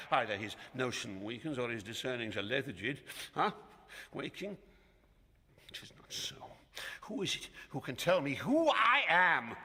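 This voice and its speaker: A man's voice: fairly high pitch, sharp tone, full character voice. An actor playing a proud, aged king in classical Shakespearean radio drama, theatrical and declamatory, swinging from murmur to roar. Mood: bitter, bewildered. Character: proud, aged king raging at his daughter. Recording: reverberant hall-like radio drama sound.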